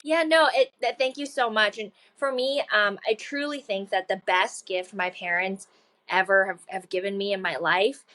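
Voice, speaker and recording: A woman in her thirties chats as a podcast guest, earnest and upbeat. She laughs easily and fills her pauses with ums and uhs as she talks. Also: medium pitch, steady tone. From China. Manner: warm, earnest, laughs often, many fillers. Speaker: guest, children's book author. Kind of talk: casual interview chat.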